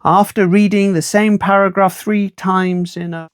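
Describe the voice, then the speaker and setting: A storyteller reading a children's book aloud in an expressive, measured, wry way. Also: mid-range voice, clear, resonant tone, no room sound, studio quality.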